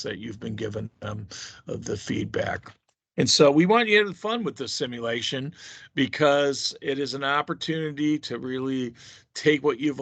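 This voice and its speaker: A man's voice: medium pitch, gravelly, a bit bright, no room sound. A man speaking as a university instructor on a conference call, explaining things to students informally in an encouraging, reassuring way. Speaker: university instructor leading a course orientation call.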